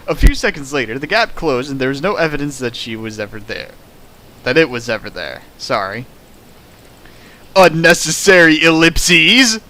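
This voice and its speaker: A man's voice: on the high side, sing-song, normal speed, bright, forceful tone. A man reading a fan fiction aloud for comedy, breaking off for sarcastic, mocking commentary on the writing. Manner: sarcastic, mocking asides, laughs at the text. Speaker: reader riffing a fan fiction.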